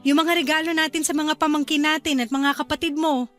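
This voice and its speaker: An eager young Filipino wife and new mother in a radio drama. Her voice is high and cheerful, with music playing along. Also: fairly even intonation, a little fast, a bit bright.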